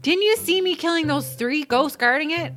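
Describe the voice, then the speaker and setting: An actress playing a shy, socially awkward young gamer woman with a quick temper, in a romantic comedy audio drama. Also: high voice, animated, a bit bright, faint music behind. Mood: outraged.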